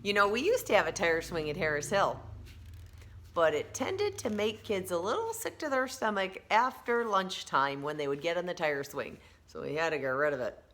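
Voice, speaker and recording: Lady teacher doing a kids read-aloud, really bright voice, explains stuff simply, encouraging. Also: fairly low pitch, animated, moderate pace. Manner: simple, encouraging talk addressed to young children. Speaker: elementary school teacher.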